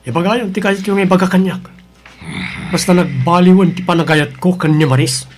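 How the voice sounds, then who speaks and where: A man's voice: sits high, normal speed, music in the background, natural voice. A man with a Filipino accent playing a troubled, stubborn husband in an Ilocano radio drama. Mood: defensive, stubborn. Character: troubled, stubborn young husband, fallen out of love.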